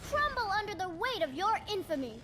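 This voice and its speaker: Very high, exuberant little boy hero. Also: sing-song, a little fast, pushed and bright, soft background music, heavily acted. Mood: boldly defiant. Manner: exuberant, theatrical, childlike. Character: brave, imaginative little boy hero on adventures. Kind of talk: video game character voice acting.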